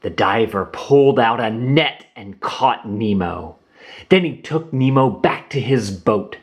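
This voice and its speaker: A man reading a children's storybook aloud in an expressive storytelling manner. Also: medium pitch, takes it slowly. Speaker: storybook reader. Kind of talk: children's read-aloud narration. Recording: roomy echo.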